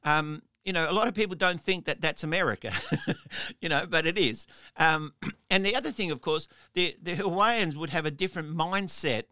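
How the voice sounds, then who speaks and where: A bright, fairly high-voiced man hosts a relaxed interview. He circles his questions with restarts and chuckles, and the sound is dry and close. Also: normal speed, smooth, dry recording. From Australia. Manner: rambling questions with frequent restarts and laughs. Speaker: interview host. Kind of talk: casual conversational interview.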